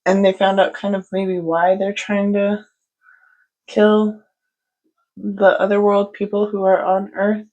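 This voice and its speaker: A woman's voice: mid-range voice, level tone, slow pace, long pauses, darkish tone, lo-fi sound. A woman book vlogger chatting casually about her reading, rambling with lots of fillers. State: stuffy from allergies. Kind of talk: casual book update chat.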